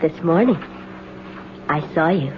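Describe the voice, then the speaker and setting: A woman playing an eerie, coolly bold young intruder in a radio drama, speaking in a deliberate, insinuating and quietly menacing way. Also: low-pitched, lively intonation. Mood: brazen, probing. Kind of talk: 1970s radio drama acting. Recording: dull noisy old radio broadcast recording.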